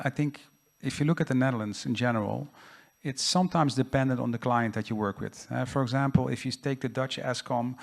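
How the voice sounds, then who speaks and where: Gravelly-voiced man on a panel. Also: medium pitch. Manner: reassuring, rambling with fillers. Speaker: panel guest. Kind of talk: panel discussion answer.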